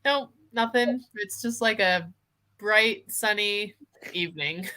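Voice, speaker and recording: Bright-voiced, giggly woman chatting about books. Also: medium pitch, slow pace, poor audio. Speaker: co-host of book discussion live show. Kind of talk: casual livestream book chat. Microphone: video call over internet.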